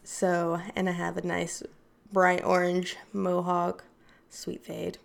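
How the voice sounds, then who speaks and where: A woman with a fairly low voice and a gravelly, fry-tinged edge talks in a relaxed group conversation. She tells her story in an animated way, full of fillers and quick asides. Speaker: hairstylist and makeup artist guest. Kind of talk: casual podcast chat.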